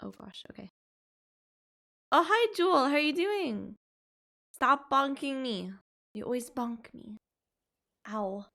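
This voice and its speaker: A woman's voice: sits high, animated, takes it slowly, vocal fry, pauses often, sharp tone. A woman casually chatting to viewers while she plays a video game, exclaiming and making playful little sound effects. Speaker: streamer playing a video game. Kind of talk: casual live gameplay commentary to viewers.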